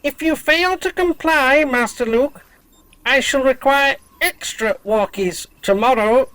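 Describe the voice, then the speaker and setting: A woman's voice: sits high, measured pace, fairly bright tone, no echo, poor audio. A woman voicing an obedient robot dog in an audio drama, formal, clipped and emotionless. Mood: dutiful.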